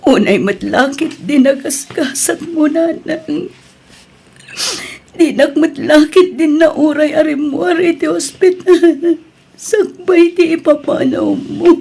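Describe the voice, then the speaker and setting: A woman's voice: high-pitched, gravelly, warm tone, heavily acted. A woman playing an ailing, grieving daughter in an audio drama, speaking sorrowfully. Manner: slow, sorrowful delivery. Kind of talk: radio-style drama acting. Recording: music bed under the voice.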